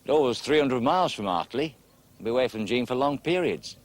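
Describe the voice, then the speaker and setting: A man's voice: mid-range voice, expressive, a little fast, lightly acted. A man of about forty with an English accent, acting in a TV drama as a reflective, self-doubting man confiding in someone. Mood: hesitant, troubled. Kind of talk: naturalistic television drama acting.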